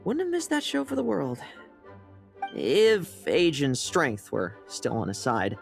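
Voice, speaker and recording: A woman playing a sly, theatrical young female knight, a smooth-talking schemer in a video game. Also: low-pitched, big pitch swings, slow pace, soft background music, exaggerated voice. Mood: grandiose, sly. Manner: theatrical, coaxing.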